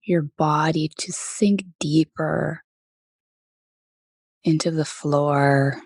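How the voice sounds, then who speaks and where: A woman guides a meditation at a very slow, unhurried pace. Her voice is soft and sits a little low. She leaves gentle pauses between phrases, and the recording is dry and close. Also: steady tone, breathy edge, dry recording. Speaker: meditation teacher leading a body scan.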